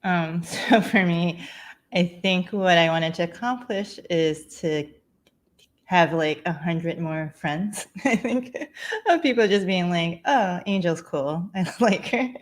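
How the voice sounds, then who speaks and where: Low-pitched, self-deprecating woman. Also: slow. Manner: self-deprecating, giggly, hesitant. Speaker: filmmaker and comedian, panel guest. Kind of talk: casual, candid Q&A answer. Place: small room at home.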